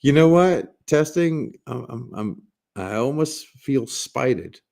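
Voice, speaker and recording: A man with a raspy smoker's voice hosting a casual livestream, joking with viewers and rambling through restarts with bursts of laughter. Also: mid-range voice, lively intonation, slow, darkish tone. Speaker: livestream host.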